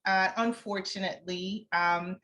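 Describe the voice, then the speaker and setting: A woman's voice: mid-range voice, steady tone, a little slow, sharp tone. A woman guest in an interview, speaking conversationally as an author in a reflective, encouraging way.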